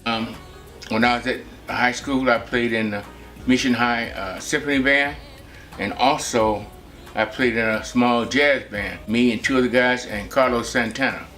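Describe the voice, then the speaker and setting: A man in his seventies from Texas, recalling his family life in a relaxed, rambling way with many pauses. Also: medium pitch, faint music behind. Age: 70s. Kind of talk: personal reminiscence.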